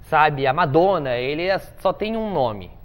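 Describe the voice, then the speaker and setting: Lively joking young male talk host. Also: on the high side, unhurried. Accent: Brazilian Portuguese. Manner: joking, teasing. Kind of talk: casual interview chat.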